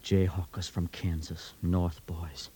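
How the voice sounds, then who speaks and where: A stubborn young farmer in a vintage radio drama. The voice is notably deep, with a rural Southern American accent, and the delivery is grim and blunt. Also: male voice, little pitch movement, slow pace, mellow, slightly rough, heavily acted. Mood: bitter. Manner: blunt, insistent. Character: grim, stubborn young Missouri farm man seeking revenge. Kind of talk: 1950s radio drama acting.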